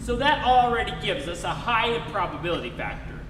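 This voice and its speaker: A man playing a cocky, excitable space rogue in a live stage radio play, with a swaggering, breezy manner. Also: sits high, a little fast, noisy background. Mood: excited, puzzled. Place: live stage performance in a hall. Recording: echoey hall, distant mic, music behind.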